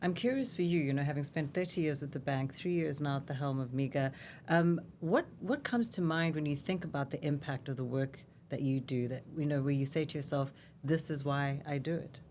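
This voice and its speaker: A woman hosting a podcast, asking interview questions in a conversational way, on a recording with rumbling noise. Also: fairly quick, a little mellow. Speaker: podcast host.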